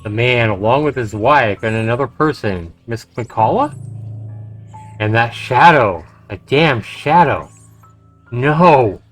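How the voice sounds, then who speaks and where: A man livestreaming a game, commenting and reading visual novel text aloud in an expressive, dramatic way. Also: mid-range voice, slow pace, soft background music. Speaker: game streamer.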